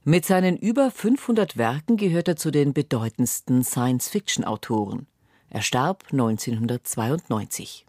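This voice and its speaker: A woman's voice: very deep voice, lively intonation, normal speed, darkish tone, no echo, clean studio sound. A woman reading a formal, informative radio introduction as announcer.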